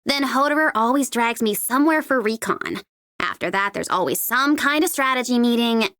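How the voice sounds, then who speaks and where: A cocky young mercenary woman for a video game, taunts with sardonic playfulness. Her voice is fairly high and swings widely in pitch, recorded close and dry. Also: animated, moderate pace, fairly bright tone, no room sound. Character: cocky, mocking young female demolition-happy mercenary. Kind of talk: video game character voice lines.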